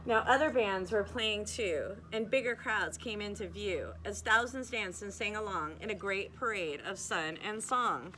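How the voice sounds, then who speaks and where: A young woman reading a rhyming picture book aloud at a steady, rhythmic pace, now and then stumbling and restarting a word. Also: mid-range voice, a little slow, few pauses, a little hiss or hum, low-quality recording. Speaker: reader.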